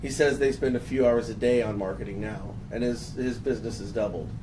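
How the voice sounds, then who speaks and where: A man in his thirties hosts a casual panel, his voice gravelly and worn hoarse. He talks in halting bursts full of restarts. The mic sits far off and the line is noisy. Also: mid-range voice. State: hoarse from a long three days. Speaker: host. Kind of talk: panel discussion. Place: office room with a panel.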